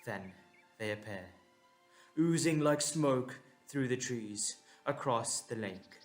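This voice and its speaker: A man narrating a scripted audio drama in a measured, suspenseful manner, with deliberate dramatic pauses. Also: medium pitch, lively intonation, soft background music.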